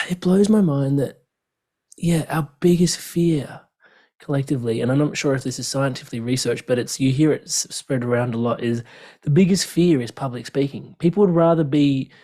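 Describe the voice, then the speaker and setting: A man hosts a podcast interview in a casual, relaxed way. He laughs easily and scatters "like" and "bro" through his questions. His voice sits at an ordinary middle pitch. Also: normal speed. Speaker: podcast host.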